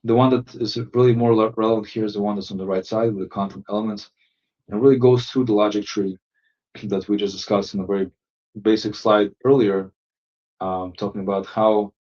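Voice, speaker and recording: A man presenting a software webinar, explaining settings step by step with many fillers and restarts. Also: on the low side. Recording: distant, muffled lo-fi audio.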